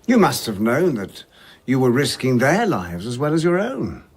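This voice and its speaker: An actor playing an inquisitive man in a radio drama, questioning in a husky, wry, probing way. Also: mid-range voice, very animated, moderate pace.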